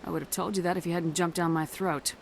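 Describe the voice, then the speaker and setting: A hard-edged female commander in a video game, blunt and impatient. The voice sits fairly low and gives firm orders, with faint noise in the background. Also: fairly even intonation, fast, creaky.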